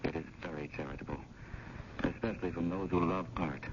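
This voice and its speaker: Earnest, conscience-stricken curator played by a man, lowish voice, formal and emphatic. Muffled 1970s radio drama sound. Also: steady tone, fairly quick, close to an everyday voice. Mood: incredulous. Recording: muffled old radio broadcast, clear hiss.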